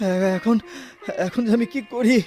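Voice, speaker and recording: An actor playing a worried husband and devoted son in a Bengali radio drama, hesitant and melodramatic, repeating himself. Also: male voice, high voice, a little slow. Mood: distressed, blaming.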